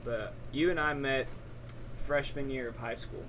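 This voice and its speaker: A young man, trading quick jokes with his co-host in casual podcast chat. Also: mid-range voice, steady tone, fairly bright tone, slight background noise. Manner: joking, quick banter.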